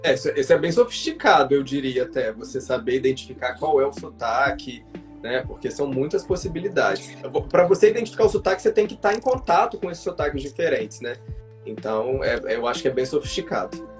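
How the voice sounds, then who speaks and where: A man, an English teacher, speaking in a measured, thoughtful way in casual conversation. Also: medium pitch, expressive, soft background music.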